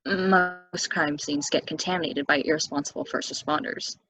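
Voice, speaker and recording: Casting: actress as solitary small-town young woman, low husky voice, calm and matter-of-fact, stage drama read. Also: moderate pace, low-quality recording. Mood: storytelling. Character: Cheryl, calm, solitary young local woman who knows the house's legend. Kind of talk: stage play table reading.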